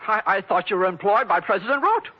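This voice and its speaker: An actor playing a meek, fretful bank clerk, hesitant and earnest, in an old radio drama. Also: male voice, high-pitched, expressive, quick pace. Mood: earnest, worried. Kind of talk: 1940s radio comedy-drama acting. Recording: muffled old radio recording.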